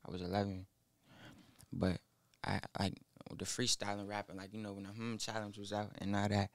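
A nineteen-year-old man, a rapper guest in a small studio, speaking haltingly into a boom mic with many fillers. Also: flat delivery, gravelly, no room sound. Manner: halting, mumbled, full of fillers. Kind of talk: casual interview. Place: small studio with foam wall. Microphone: studio mic on boom arm, headphones.

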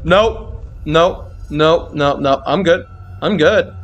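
A man's voice: fairly high pitch, slow, lo-fi sound. A man giving reaction commentary on a horror game, jumpy and exclaiming, swearing and repeating himself. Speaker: gaming video creator.

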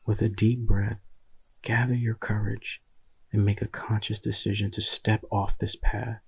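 A man leading a guided meditation in a soothing, deliberate manner with long pauses and a husky voice. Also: fairly low pitch, little pitch movement, a little hiss or hum.

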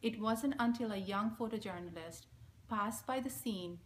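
Bangladeshi-accented woman, forties, solemn and measured reading to camera; middle pitch, light background hiss. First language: Bengali. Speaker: speaker giving a recorded message. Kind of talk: reading a prepared statement. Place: small indoor room, plain wall. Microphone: front-facing camera at close range.

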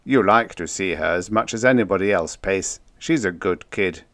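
A man's voice: low-pitched, moderate pace, a bit bright, no echo. A man voicing an earnest, well-meaning spaceman who is insistent and plain-spoken, in a story reading. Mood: encouraging.

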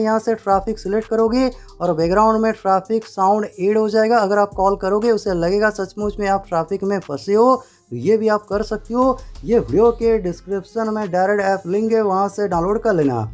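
A man's voice: high-pitched, fairly quick, darkish tone. A man narrating a step-by-step phone tutorial in an instructional way, repeating stock phrases. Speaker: tech tutorial presenter. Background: faint music.

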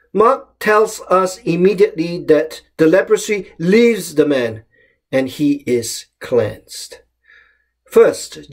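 A middle-aged man from Malaysia gives a short home sermon in a calm, measured voice that sits a little high for a man. He lifts the openings of his phrases for emphasis. He is recorded close up on a webcam in a small room. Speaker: pastor. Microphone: webcam or laptop camera close up.

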